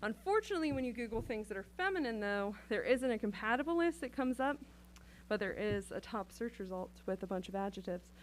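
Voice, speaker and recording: A woman on a city council giving a prepared, earnest speech, speaking directly and deliberately to the room. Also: a little high, lively intonation, a little hiss or hum, low-quality recording. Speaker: council member.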